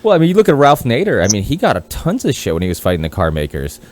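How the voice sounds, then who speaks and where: A man's voice: medium pitch, wildly swooping pitch, quick pace, a little hiss or hum. A Portugal-born man hosting a casual podcast, talking in a rambling, profane way with many restarts. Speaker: podcast host.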